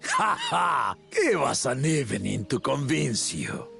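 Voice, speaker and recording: An actor playing a jovial, wise legendary ruler in a fantasy video game. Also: male voice, medium pitch, wildly swooping pitch, slow, vocal fry, pushed and bright, soft background music, full character voice. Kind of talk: scripted video game dialogue.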